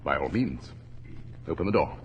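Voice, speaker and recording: Gentleman detective, precise and theatrical, swooping delivery, noisy old radio show. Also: rumbling low, expressive, moderate pace. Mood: wary, firm. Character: brilliant, commanding gentleman detective, urgent and formal. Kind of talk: 1940s radio acting.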